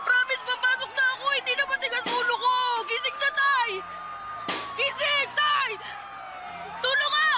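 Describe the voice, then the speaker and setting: A woman's voice: very high-pitched, level tone, normal speed, crowd noise. A woman playing an anxious, devoted young son in a Tagalog radio drama, speaking respectfully and with open emotion. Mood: panicked, grieving. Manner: respectful, pleading, emotional delivery. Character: anxious, devoted young boy named Boyet, a poor man's son.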